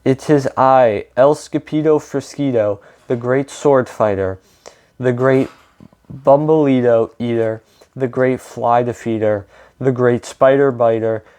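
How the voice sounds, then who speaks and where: A man voicing an excitable, boastful young kitten hero in a picture book read-aloud, exclaiming and bouncy. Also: medium pitch, slow, natural voice.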